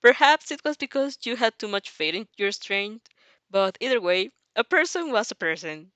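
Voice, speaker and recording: A woman's voice: mid-range voice, sing-song, moderate pace, fairly bright tone, no room sound. Woman, giggly fanfic reader and reactor, very dry close sound. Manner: giggly, often breaks into laughter. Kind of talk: audio fanfic reading with live reactions.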